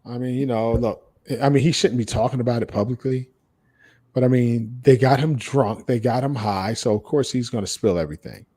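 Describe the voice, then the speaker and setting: An American man co-hosts a casual podcast in a dark, relaxed voice at an average male pitch. He gives his opinions bluntly and repeats phrases to drive them home. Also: moderate pace. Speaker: podcast co-host.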